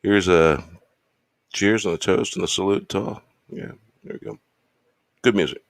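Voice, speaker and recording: A man hosting his show in a laid-back, rambling casual chat, slightly hoarse from losing his voice. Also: sits low, expressive, normal speed, no room sound, studio quality. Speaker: show host. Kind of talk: casual chat while drinking.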